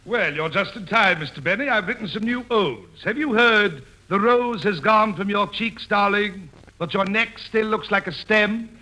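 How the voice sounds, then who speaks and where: An actor playing a pompous, hammy poet who declaims grandly in a 1940s radio comedy. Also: male voice, high voice, normal speed, sharp tone. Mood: florid. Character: pompous hammy poet reciting corny verse. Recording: noisy 1940s radio broadcast.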